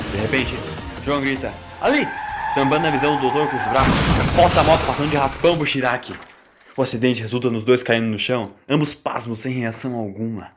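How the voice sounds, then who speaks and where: A man with a Brazilian accent reading a scripted, dramatic story as its narrator. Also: medium pitch. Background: music.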